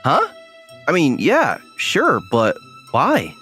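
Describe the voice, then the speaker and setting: A man's voice: fairly high pitch, wildly swooping pitch, slow, a bit bright, exaggerated voice. A man playing a young college student, ordinary, guarded and self-deprecating, in an anime-style dub with a hesitant, dry, puzzled delivery. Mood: surprised, hesitant. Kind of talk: anime-style dub with first-person narration and inner monologue. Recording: music behind the voice.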